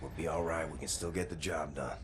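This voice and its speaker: An actor playing a weary, battle-hardened war hero in a video game, grim and steadfast, speaking in a low, terse, brooding way. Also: male voice, fairly even intonation, brisk, noisy background. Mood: quiet concern. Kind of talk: cinematic video game acting.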